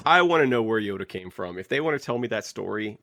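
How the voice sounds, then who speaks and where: A man co-hosting a podcast, chatting casually in an informal, halting, wry manner. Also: fairly low pitch, animated, fairly bright tone, no echo, studio quality. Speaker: podcast co-host. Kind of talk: casual panel chat about a TV trailer.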